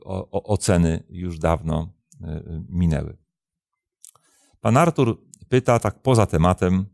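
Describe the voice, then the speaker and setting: A man in his fifties, a pastor hosting a programme, answering religious questions in a measured, deliberate way with hesitant pauses. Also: sits low, creaky, darkish tone, no echo, studio quality. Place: indoor studio, seated at a desk.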